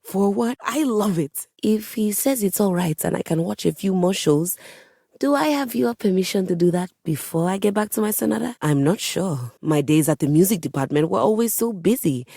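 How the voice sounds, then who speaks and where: A woman's voice: mid-range voice, animated, moderate pace, gravelly, dark tone, no room sound, studio quality. A woman voicing a haughty, melodramatic musical instrument with a composer's snobbery in a video game, speaking with theatrical, pompous emphasis. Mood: haughty, unsure. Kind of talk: video game character voice acting.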